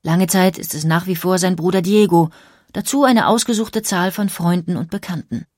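Female documentary narrator: slightly low pitch, precise literary phrasing, dry studio-like sound. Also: normal speed, a little mellow, no room sound.

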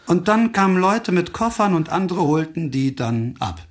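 Hard, sardonic police investigator. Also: male voice, sits high, big pitch swings, moderate pace. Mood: probing. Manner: commanding, clipped, sarcastic. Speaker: actor in a radio crime drama. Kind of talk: German radio drama acting.

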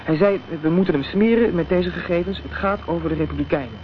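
An actor playing an injured, guarded young activist in a Dutch radio drama, speaking hesitantly and evasively. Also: male voice, on the high side, fast talker, natural voice. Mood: subdued, explaining. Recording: dull, noisy old radio recording.

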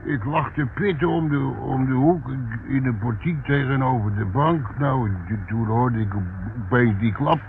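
An actor playing a grumpy old male tramp in a radio play, halting and repeating himself as he grumbles. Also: medium pitch, vocal fry. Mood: rambling. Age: older. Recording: muffled narrowband old radio recording, noisy.

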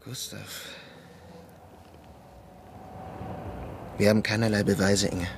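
An actor in a German radio drama playing a suspicious young-republic-era army lieutenant, questioning in a terse, demanding way. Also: male voice, on the low side, level tone, fast talker, a little hiss or hum, lightly acted. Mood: skeptical, demanding.

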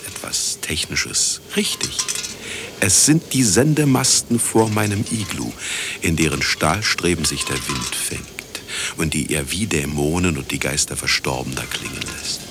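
A sardonic, grandiose broadcaster in a radio drama, lecturing the listeners. His deep voice is gravelly and swoops theatrically from line to line, mocking as it goes. Some ambient noise sits under the speech. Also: big pitch swings, a little slow, exaggerated voice. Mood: hushed, ominous. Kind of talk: radio play monologue.

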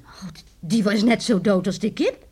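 An actress playing a village woman who tells eerie folk tales to youngsters in an old radio play, in a folksy, drawn-out way. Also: mid-range voice, mellow, a little hiss or hum, natural voice. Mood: eerie relish. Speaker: storyteller. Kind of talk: radio drama acting.

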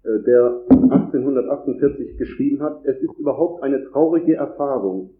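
A man with a cold gives a formal academic lecture at a conference, reading long, measured sentences from a script. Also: medium pitch. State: has a cold. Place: conference hall. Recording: echoey hall over a tinny, phone-like line.

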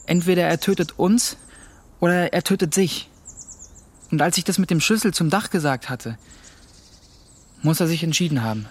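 A man's voice: on the high side, normal speed, pauses often, no echo, close to an everyday voice. A young man playing a shaken teenage boy, earnestly recounting an ordeal in a radio drama. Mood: defensive. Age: young adult. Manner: earnest first-person recounting. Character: shaken fourteen-year-old boy recounting a hostage ordeal.

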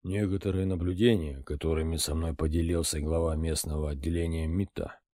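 A man voicing a low, composed intelligence colonel. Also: fairly even intonation, mellow, no room sound, clean studio sound. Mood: even. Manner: measured, matter-of-fact reporting. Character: composed middle-aged male intelligence colonel. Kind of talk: audiobook character reading.

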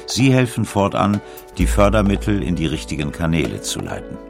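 Very deep, gravelly male narrator. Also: big pitch swings, fairly quick, music in the background. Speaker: documentary narrator. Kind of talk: scripted documentary narration.

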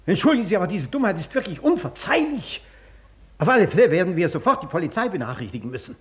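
An actor in a German radio play, playing an agitated, imperious gentleman doctor with a formal, demanding, impatient manner. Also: high voice, very animated, quick pace. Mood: indignant, impatient.

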